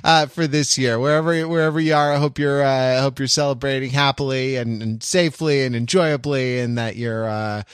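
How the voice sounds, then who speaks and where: A man's voice: mid-range voice, fairly even intonation, fairly quick, hardly any pauses, clear, resonant tone, dry recording. Wry man hosting, close dry sound. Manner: hesitant, reflective, wry, restating phrases. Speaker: podcast host. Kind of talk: casual podcast discussion.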